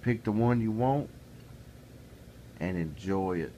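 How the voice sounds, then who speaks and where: A man's voice: lowish voice, animated, takes it slowly, warm tone, a little hiss or hum. A middle-aged man talking casually to camera in a plainspoken, folksy way, building into an exasperated rant. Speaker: vlogger. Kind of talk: casual ranting monologue.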